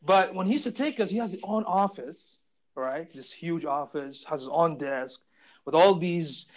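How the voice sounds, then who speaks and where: Man, podcast guest, raised in saudi arabia, chatty storyteller, laughs a lot, a bit high-pitched. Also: moderate pace, highs cut off. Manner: chatty, laughs often while telling stories. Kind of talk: casual interview storytelling.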